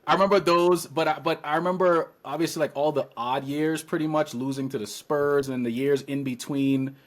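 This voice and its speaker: Animated Trinidadian-raised man telling stories. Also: fairly high pitch, moderate pace. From Trinidad and Tobago. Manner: animated storyteller, acts out his own past words. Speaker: guest. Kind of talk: casual podcast chat.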